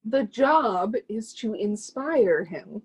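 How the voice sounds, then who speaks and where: A woman's voice: medium pitch, lively intonation, takes it slowly, darkish tone, husky, exaggerated voice. A woman playing a proud, zealous would-be artist's muse in a play reading, speaking with lofty, scornful emphasis.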